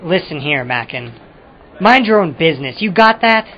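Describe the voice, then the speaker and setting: A man's voice: a little high, big pitch swings, moderate pace, a faint crowd. Man playing touchy ex-con in muffled radio drama. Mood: curt, angry. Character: evasive, touchy male ex-convict confessing to a detective. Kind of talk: old-time crime radio drama dialogue. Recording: muffled amateur radio-drama recording with music.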